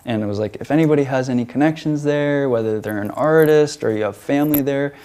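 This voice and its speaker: A man's voice: medium pitch, quick pace, warm tone. A young man from the United States, a featured artist answering interview questions in a hesitant way, with many fillers and restarts.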